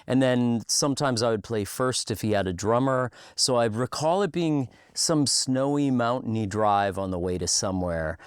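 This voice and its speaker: A man with an average-pitched voice talks as an interviewed musician. His chat is casual and thoughtful, with emphatic weight on key phrases. It is recorded close and dry. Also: animated, normal speed, hardly any pauses, clear, resonant tone, dry recording.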